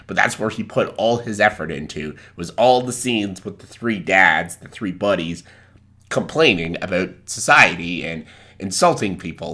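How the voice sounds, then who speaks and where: A man's voice: fairly low pitch, bright, forceful tone. A man in his thirties with a cold, congested, giving a blunt, rambling movie review to camera. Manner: blunt and ranting, with quick asides. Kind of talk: casual spoken movie review.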